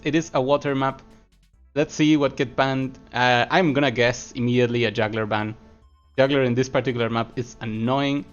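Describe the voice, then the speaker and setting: A man from Argentina commentates a live esports match at a middle pitch. He talks in a loose, chatty way and turns from analysis to chat messages. The stream audio is a little muffled, and soft music plays underneath. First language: Spanish. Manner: chatty, laughs often, answers chat between analysis. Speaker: esports caster.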